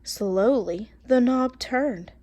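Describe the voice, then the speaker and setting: A woman's voice: a little high, very slow, warm tone. A woman teacher reading a children's storybook aloud expressively, phrasing carefully and talking directly to her young listeners.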